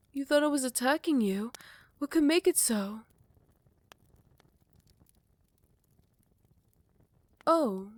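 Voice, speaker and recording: A woman voicing a proud vampire royal smitten with a rival, in soft, formal, measured speech that often slips toward a whisper, for a romantic ASMR roleplay. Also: a little high, no echo. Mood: puzzled, startled. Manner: formal, archaic, measured courtly phrasing. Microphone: close microphone, dry.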